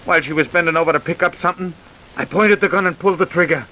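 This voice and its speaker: An actor playing a broken, self-loathing American murder suspect in an old-time radio crime drama, plain-spoken and downcast. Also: male voice, a little high, rapid-fire, sharp tone, rough recording. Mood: shaken, confessing. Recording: hissy old radio recording.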